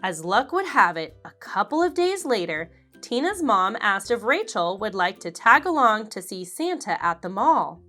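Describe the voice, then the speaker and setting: A woman reading a children's picture book aloud, slowly and expressively. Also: mid-range voice, faint music behind. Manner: slow, expressive, animated character voices. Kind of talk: read-aloud storybook narration.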